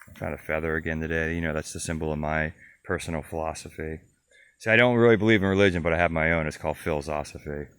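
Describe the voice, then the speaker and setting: Low-voiced American man, rambling reflectively. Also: fairly bright tone. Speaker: solo bike-tour vlogger. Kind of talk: casual rambling video diary. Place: outdoors at a grassy hilltop campsite.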